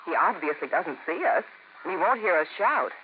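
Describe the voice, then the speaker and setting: A thin, telephone-like vintage recording with faint noise. An actress plays a perky, coaxing wife in a radio skit, her pitch lively and sweetly persuasive. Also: normal speed, close to an everyday voice. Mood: cheerful, coaxing. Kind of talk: 1950s radio safety spot, domestic skit.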